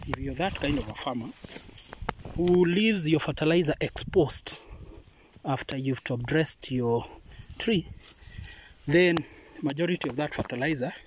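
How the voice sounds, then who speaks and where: Slow-talking Kenyan man demonstrating outdoors. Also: medium pitch, background noise. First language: Swahili. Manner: unhurried, hesitant with many pauses. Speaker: avocado farmer. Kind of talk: hands-on farming demonstration. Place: outdoors on a farm.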